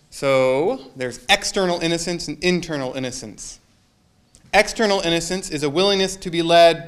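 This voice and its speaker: Male minister, fairly high voice, chatty sermon with jokes and audience quizzes. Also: a bit bright. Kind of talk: casual sermon to a congregation.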